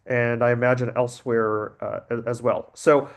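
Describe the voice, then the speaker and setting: A man speaks as a webinar panelist over a video call. His pitch sits in the middle of the usual range for men. He sounds earnest, with the odd stumble as he talks. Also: flat delivery, moderate pace. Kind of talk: webinar presentation.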